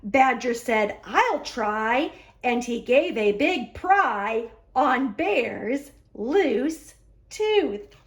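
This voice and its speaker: A woman reading a picture book aloud for a children's story hour, expressive, with drawn-out emphasis on key words. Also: a little high. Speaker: library story hour reader.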